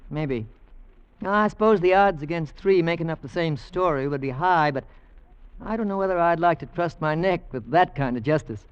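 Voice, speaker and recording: An actor playing an earnest American oil engineer with an American accent, telling his adventure in measured old-time radio drama style. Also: a little high, clear, resonant tone, dry recording, natural voice. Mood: skeptical, conversational. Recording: muffled vintage radio recording.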